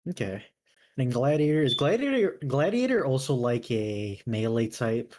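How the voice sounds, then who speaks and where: Male player, medium pitch, casual conversational delivery, tentative, working things out aloud. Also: very animated, normal speed, darkish tone, slight background noise. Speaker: player creating a character. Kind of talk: casual chat.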